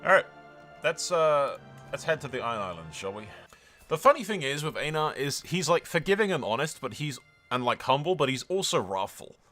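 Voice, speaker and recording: A man's voice: on the high side, very animated, fairly quick, bright, forceful tone, soft background music. A man hosting a gaming video, rambling casually through his commentary with sweary, jokey asides to the audience. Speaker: host of a gaming video channel.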